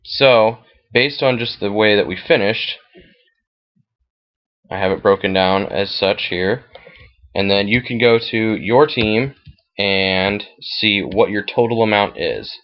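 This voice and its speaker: American man, lowish pitch, informal walkthrough, matter-of-fact, slightly muffled lo-fi recording. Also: takes it slowly. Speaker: fantasy football league commissioner. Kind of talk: casual screen-share walkthrough. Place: voice-over at a computer, screen recording.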